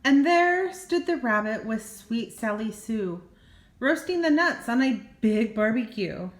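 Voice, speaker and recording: A woman's voice: a little high, expressive, a little slow. An older woman, a grandmother, reading a children's story expressively with small asides, in an echoey room. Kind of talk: children's storybook read-aloud.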